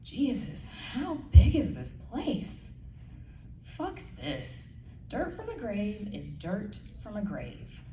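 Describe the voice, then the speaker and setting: A woman's voice: medium pitch, wildly swooping pitch, slow, dark tone, voice pushed up. A woman voicing an irritable woman in her twenties, grumbling with exasperation, recorded in a roomy space. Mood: exasperated. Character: irritable, impatient young Southern-town woman in her twenties. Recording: roomy, distant mic, faint noise.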